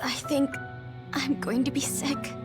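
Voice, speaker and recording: A woman's voice: high voice, fairly even intonation, a little slow, over loud music. A woman playing a sweet, anxious teenage girl soldier in an anime-style video game, girlish and emotive. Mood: queasy, disturbed.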